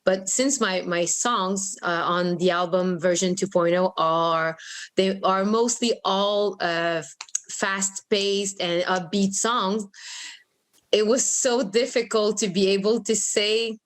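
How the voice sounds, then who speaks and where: A woman's voice: mid-range voice, steady tone, slow pace. Woman with a strong Quebec French accent. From Quebec, Canada. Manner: hesitant, many fillers, laughs often. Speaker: guest singer. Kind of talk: interview conversation.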